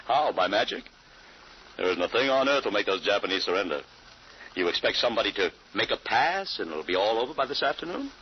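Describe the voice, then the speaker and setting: A man's voice: fairly low pitch, sing-song, fairly quick, breathy edge. An actor playing a genial middle-aged small-town father in an old-time radio drama. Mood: easygoing. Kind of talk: 1940s radio acting. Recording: muffled, hissy old radio recording.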